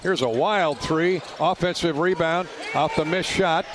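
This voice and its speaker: Higher-pitched man calling basketball, punchy. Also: unhurried, noisy background. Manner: punchy, excited calls. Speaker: basketball play-by-play announcer. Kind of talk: live radio sports commentary, clipped phrases. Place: indoor basketball arena.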